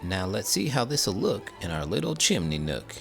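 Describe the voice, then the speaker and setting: A man's voice: deep voice, normal speed, vocal fry, soft background music, full character voice. A man voicing an eager, cheerful father rabbit in a storybook reading. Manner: excited, bouncy.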